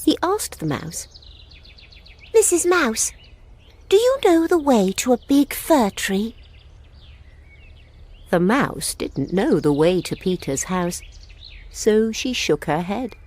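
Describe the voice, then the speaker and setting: A woman reads a children's fairy tale with slow, measured pacing. Her voice sits fairly low but moves in lively, expressive swings. Nature ambience with birdsong is clearly audible behind her. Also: a little hiss or hum. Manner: expressive, simple storybook delivery. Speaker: children's storyteller. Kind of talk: scripted fairy tale narration for toddlers.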